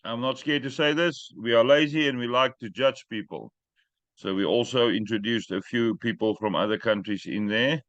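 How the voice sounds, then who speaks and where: A man hosting a group call in English, which is not his first language, talking in a direct, plain-spoken and sometimes halting way. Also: medium pitch, a bit bright, no echo. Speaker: host. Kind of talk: casual group call chat. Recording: dry muffled call audio.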